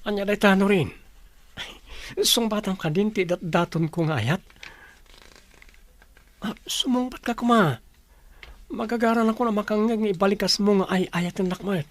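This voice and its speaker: An actor playing an eager young suitor in a radio drama. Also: male voice, sits high, moderate pace, pauses often, close to an everyday voice. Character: eager young suitor, apologetic when scolded. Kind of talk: radio soap acting.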